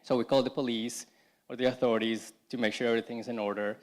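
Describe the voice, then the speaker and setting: Halting man giving a church testimony. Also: mid-range voice, flat delivery. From Colombia. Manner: halting, many restarts and "you know". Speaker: congregation member giving testimony. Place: church service.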